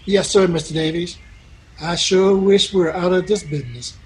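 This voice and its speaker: A man's voice: high-pitched, moderate pace, husky, rough recording. A man playing a devout, sorrowful cowhand in a radio western, speaking in a humble, folksy, deferential way. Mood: solemn, sorrowful. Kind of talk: old-time radio western acting.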